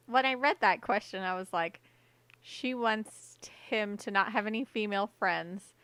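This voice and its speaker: Female podcast producer-co-host, medium pitch, informal and conversational. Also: measured pace, a bit bright, clear, resonant tone, no room sound. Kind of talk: casual chat and listener announcements.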